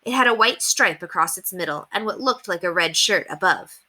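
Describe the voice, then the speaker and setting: A woman reading a children's novel aloud as an expressive storyteller. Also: fairly low pitch, normal speed. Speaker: read-aloud storyteller at an elementary school.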